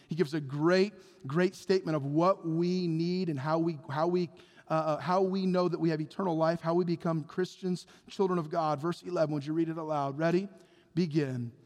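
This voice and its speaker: A man preaching a sermon with passion, acting out Bible figures in quick bits of dialogue. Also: a little high, darkish tone. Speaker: pastor. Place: church sanctuary. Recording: long hall reverb.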